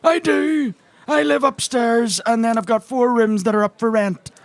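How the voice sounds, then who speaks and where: A man puts on a toothless, miserly village publican with a strikingly high voice. He sounds breezy and matter-of-fact. The sound is close and dry, in an improvised comedy game. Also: moderate pace, vocal fry, no echo. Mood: obliging, dry. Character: penny-pinching, toothless village pub landlord.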